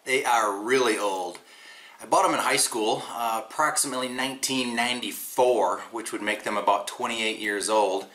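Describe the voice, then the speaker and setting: A man's voice: mid-range voice, normal speed, sharp tone. A man in his forties chatting casually in a how-to vlog, upbeat and full of ums, recorded by a camera across a desk in a small room. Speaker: vlogger.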